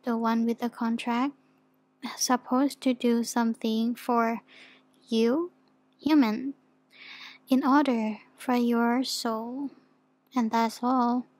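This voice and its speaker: Woman voicing a soft, slow-speaking shy demon girl. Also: fairly high pitch, steady tone, no room sound. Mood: earnest, explaining. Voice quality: soft, whispery at times. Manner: hesitant, stammering, apologetic. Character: soft, shy, flustered young female demon offering contracts. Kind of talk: ASMR roleplay.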